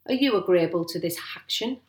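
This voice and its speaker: A woman's voice: lowish voice, animated, brisk, echoey room, natural voice. A pompous country police sergeant from a storybook, acted out by a woman. He speaks ponderously and officially, putting stray aitches on his words and mangling his grammar. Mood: hearty, urging. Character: pompous, stern male village police sergeant.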